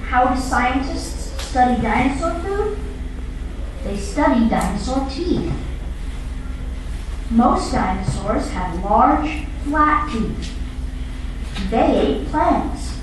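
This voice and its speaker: A woman reading a textbook passage aloud in slow, carefully enunciated narration. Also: high-pitched, expressive, far from the mic, background noise. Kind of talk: scripted textbook audio narration. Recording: audio played in an echoey classroom, heard from afar.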